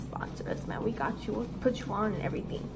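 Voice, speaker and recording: A sixteen-year-old girl from Arizona, co-hosting a casual Q&A vlog at home, relaxed and giggly as she reads out questions. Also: mid-range voice, fast, noisy background. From Arizona, USA. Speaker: co-host of a YouTube channel. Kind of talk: casual Q&A chat with a friend. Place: living room at home. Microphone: camera in front of two seated girls.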